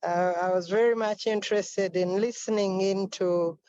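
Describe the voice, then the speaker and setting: A woman's voice: medium pitch, steady tone, normal speed, mellow. A woman introducing herself on a video call, polite and slightly hesitant. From Uganda. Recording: dull, dry call audio.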